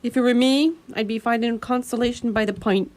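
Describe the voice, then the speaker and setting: Woman voicing a rough kindly asylum attendant, bit high, hesitant, radio play. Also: fairly even intonation, brisk, darkish tone, lightly acted. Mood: awkward, deferential. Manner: colloquial, folksy, hesitant. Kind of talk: radio drama acting.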